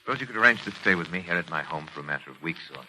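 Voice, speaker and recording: Calm probing doctor, low voice, formal, old 1940s radio drama w/ slight noise. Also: male voice, full character voice, low-quality recording. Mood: probing, reassuring. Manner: measured, formal, clinical. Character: composed, probing male doctor, reassuring and professional.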